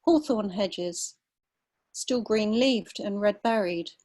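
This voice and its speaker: An older woman with a southern English accent reads her own prose. Her delivery is unhurried and measured, at a mid-range pitch, in a literary reading style. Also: darkish tone. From London, England. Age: 50s or older. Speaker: writer reading own story. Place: online video call.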